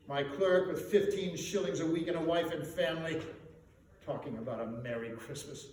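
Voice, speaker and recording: Guy doing a bitter old miser from a storybook, barks with contempt, high-ish voice, really echoey room. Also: normal speed, darkish tone, close to an everyday voice. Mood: gruff, dismissive. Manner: barking, contemptuous, emphatic. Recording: echoey room, distant mic, faint noise.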